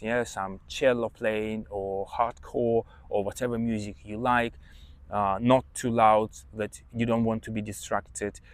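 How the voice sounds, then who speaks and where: A man's voice: medium pitch, dry recording. Russian accent guy, english teacher on his podcast, chatty and emphatic, dry close sound. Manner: chatty, emphatic, checks in with "yeah?". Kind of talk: casual advice monologue.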